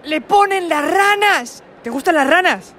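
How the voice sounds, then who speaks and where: A man's voice: very high-pitched, expressive, a little slow, pushed and bright, a little hiss or hum. Brash young man. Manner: brash, mocking, playful insults. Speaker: host of street quiz. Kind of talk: teasing street quiz interview. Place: indoor convention hall. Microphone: handheld mic with furry windscreen.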